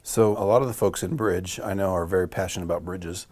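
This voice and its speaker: Fast-talking deep-voiced male host. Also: steady tone, a little mellow. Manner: upbeat, many affirming fillers. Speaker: podcast host, department director. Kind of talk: podcast host chat.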